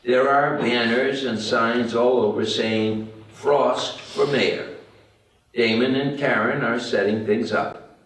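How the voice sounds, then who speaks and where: A man's voice: medium pitch, steady tone, slow, fairly bright tone, slight background noise. A man reading scripted screenplay stage directions as the narrator at a table read. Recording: very echoey room, distant mic.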